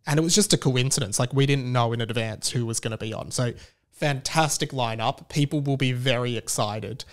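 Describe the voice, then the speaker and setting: An Australian man chats casually on his own as a podcast host. His voice is medium in pitch and very clear and smooth. He sounds enthusiastic and loose, rambling from one thought to the next. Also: brisk. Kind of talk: casual chatty monologue.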